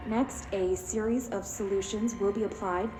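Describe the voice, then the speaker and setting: An actress playing a detached female researcher in a game, dictating a formal test log, clinical, deadpan and methodical. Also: medium pitch, moderate pace, close to an everyday voice. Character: detached female lab researcher logging an experiment. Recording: echoey, noisy laboratory recording.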